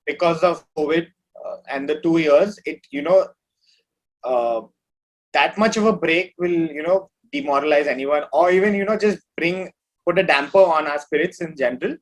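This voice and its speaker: A man's voice: on the high side, normal speed, rough recording. A man talking informally as an interview guest, rambling along in a casual chat. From India. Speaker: metal musician, interview guest.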